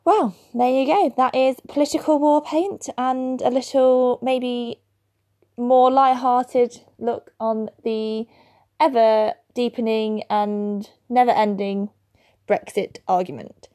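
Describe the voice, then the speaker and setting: A woman hosting a solo podcast, chatty and quick to laugh at her own slips over hard words. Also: a little high, steady tone, dark tone. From England. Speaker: podcast host.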